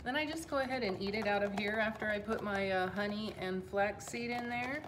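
A woman's voice: mid-range voice, background noise. A woman in her fifties, a health coach, casually walking through a recipe in her home kitchen while she works, speaking into a camera on the counter. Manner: relaxed, unhurried, talks while working. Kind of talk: casual cooking demonstration. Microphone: phone or camera on the counter.

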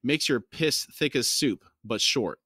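A grim, stern scout with a low rumbling voice, as a man reads him aloud. He speaks steadily and without warmth. The recording is dry and close. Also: lively intonation, a little slow, husky, no room sound, exaggerated voice.

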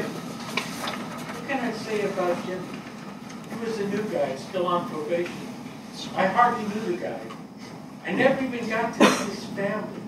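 A man in his sixties playing a plainspoken, grieving fire captain in a stage reading, halting and matter-of-fact. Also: high-pitched. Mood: somber, halting. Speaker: stage actor in a dramatic reading. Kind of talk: conversational stage dialogue read from a script. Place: small theatre stage before an audience. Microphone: far camera. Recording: echoey theatre, distant mic, noisy.

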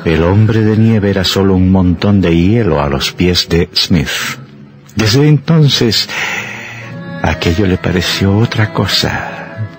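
An actor playing a grave storyteller narrating a horror radio drama. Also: male voice, sits low, expressive, slow pace, soft background music. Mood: grave, suspenseful.

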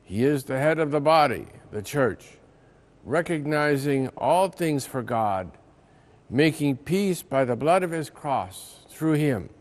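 An older American man reads a religious reflection as a priest would in church. His delivery is slow and solemn, with each phrase measured out at a middling pitch. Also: darkish tone. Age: older, around 70. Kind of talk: scripted religious reflection, reading aloud. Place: large ornate church interior.

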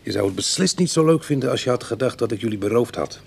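An actor playing a proud, wry retired burglar in middle age who is easily goaded, in a Dutch radio play. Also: male voice, mid-range voice, expressive, fast talker, gravelly, darkish tone, breathy edge. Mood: gently warning. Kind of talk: Dutch radio drama acting.

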